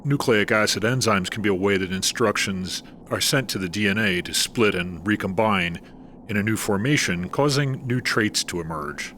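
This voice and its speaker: An actor playing a careful male scientist who speaks in a measured, clinical way in an audio drama. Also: lowish voice, fairly bright tone, slight background noise, natural voice. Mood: guarded. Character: cool, guarded male scientist studying the marsh.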